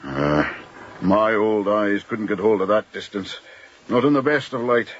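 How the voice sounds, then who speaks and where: A man's voice: fairly low pitch, a little slow, a little hiss or hum, close to an everyday voice. A man acting an older, opinionated first mate in a radio drama, with a fussy, emphatic and ponderous way of speaking. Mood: dutiful, plain. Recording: dull, lo-fi radio drama recording.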